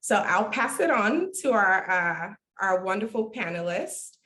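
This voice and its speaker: A woman's voice: mid-range voice, big pitch swings, measured pace, a bit bright. A woman hosting an online panel event, formally welcoming guests and introducing speakers. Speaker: host, executive director introducing a panel.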